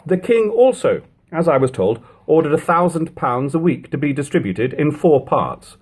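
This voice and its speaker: A man reading an old historical narrative aloud in a measured, expressive way, as an audiobook reader. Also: a little high. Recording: lo-fi amateur home recording.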